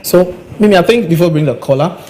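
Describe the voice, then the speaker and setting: A man co-hosting a live broadcast, talking in a measured way with frequent hesitations. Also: high voice, animated, background noise. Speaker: radio talk show co-host.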